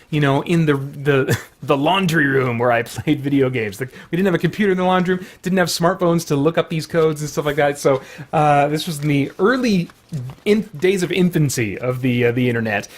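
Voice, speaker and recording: A man's voice: a little high, moderate pace. A man hosting a casual vlog, chatting in a meandering way and often breaking into his own sentences with asides. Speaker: video host showing a collection. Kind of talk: casual rambling vlog chat.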